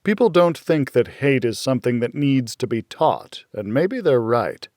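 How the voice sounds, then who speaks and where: Slow, measured male audiobook narrator. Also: medium pitch, very animated, a little mellow, no room sound, clean studio sound. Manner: measured, dramatic storytelling. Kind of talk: scripted first-person narration of a dark thriller novel.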